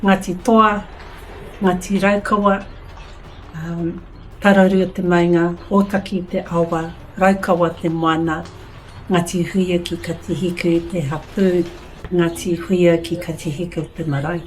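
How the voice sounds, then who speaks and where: Nz lady, kinda low voice, calm and measured, a little background noise. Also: low-quality recording. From New Zealand. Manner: calm, measured, reciting her ancestry. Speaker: local guide and guest. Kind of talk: interview answers.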